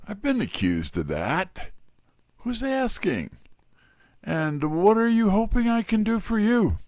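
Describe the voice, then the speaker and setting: An actor playing a wry, tough, wisecracking detective's assistant in hardboiled first-person mystery narration and dialogue. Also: male voice, sits high, very animated, takes it slowly, a little mellow, dry recording, studio quality, heavily acted.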